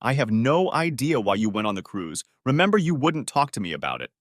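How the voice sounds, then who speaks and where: Guy playing bitter sarcastic husband in a story, animated pitch, close dry sound. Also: fairly quick, no room sound. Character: Steve, sarcastic, wounded husband needling his wife. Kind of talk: audiobook-style dramatic reading of dialogue.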